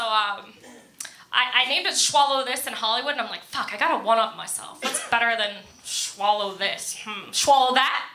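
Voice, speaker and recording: A woman with an American accent performs stand-up in an echoey club. Her voice sits fairly high and has a bright, forward edge. She tells stories casually at her own expense and often giggles through them. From Florida, United States. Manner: self-deprecating, casual storytelling. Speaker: stand-up comedian. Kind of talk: stand-up comedy set. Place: indoor comedy club stage. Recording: echoey room, live comedy club.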